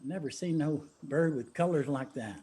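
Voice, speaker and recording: An actor playing a haunted, grieving coal miner brother in a stage play, plain-spoken and halting, with an Appalachian accent. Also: male voice, a little high, warm tone, husky. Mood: somber, remembering.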